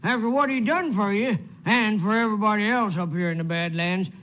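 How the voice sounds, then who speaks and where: An actor playing a folksy, colorful ranch-hand sidekick in a 1940s radio western. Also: male voice, high voice, brisk, a bit bright. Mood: folksy, admiring.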